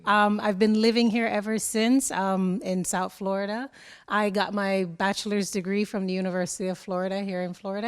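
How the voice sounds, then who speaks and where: A woman from Jamaica, a college IT teacher, answering interview questions in a reflective, unhurried way. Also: medium pitch. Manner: reflective, unhurried, speaks of slowing down. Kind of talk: relaxed conversational interview answers.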